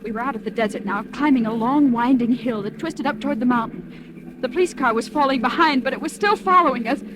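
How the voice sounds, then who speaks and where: A woman's voice: high-pitched, brisk, lightly acted. An actress playing a frightened but feisty kidnapped woman, narrating her ordeal dramatically in 1940s radio style on a noisy old recording. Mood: excited dread. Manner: breathless, dramatic, emotional delivery.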